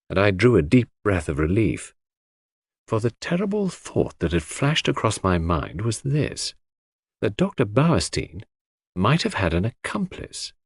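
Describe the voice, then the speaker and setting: Husky, expressive male audiobook narrator. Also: on the low side, no echo, clean studio sound. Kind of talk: scripted first-person mystery audiobook narration.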